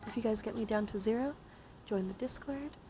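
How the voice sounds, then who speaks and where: A woman hosting a live ASMR stream, speaking softly and gently to viewers and often slipping into whispers. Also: fairly high pitch, a little fast, slight background noise.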